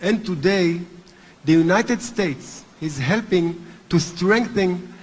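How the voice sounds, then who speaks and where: A man's voice: high-pitched, slightly rough. Hebrew-accented man speaking in a reverberant hall. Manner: earnest, deliberate, with occasional restarts. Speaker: movement chairman giving a speech. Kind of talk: formal conference speech. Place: large hotel conference hall.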